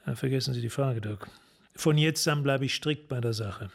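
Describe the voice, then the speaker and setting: An actor playing a hard-boiled police sergeant in a German radio crime drama, speaking tersely and directly. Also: male voice, medium pitch, moderate pace, vocal fry. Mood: coolly probing. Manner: terse, direct, clipped sentences.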